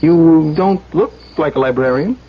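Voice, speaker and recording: An actor playing a sober, earnest engineer who is conflicted, in a radio drama. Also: male voice, on the high side, a little slow, slightly rough, muffled recording. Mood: friendly, bashful. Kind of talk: radio drama acting with first-person narration. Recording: band-limited 1970s radio drama, music behind.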